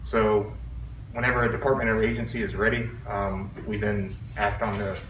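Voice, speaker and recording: A man's voice: fairly low pitch, level tone, normal speed. A man answering questions at a meeting as a service representative, politely explaining. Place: large indoor public meeting room. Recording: echoey room, distant mic, clear noise.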